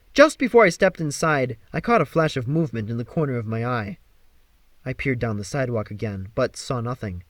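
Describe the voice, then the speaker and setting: A man narrating a story from a script in an expressive, theatrical way. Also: medium pitch, no echo. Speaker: amateur audiobook reader.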